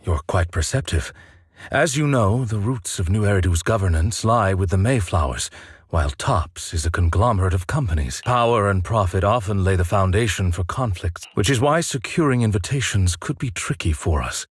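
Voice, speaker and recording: A man's voice: lowish voice, expressive, moderate pace, slightly rough, no echo, studio quality. An actor playing a polite, composed male butler in a video game, speaking formally and reassuringly. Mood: courteous, composed. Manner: formal, courteous.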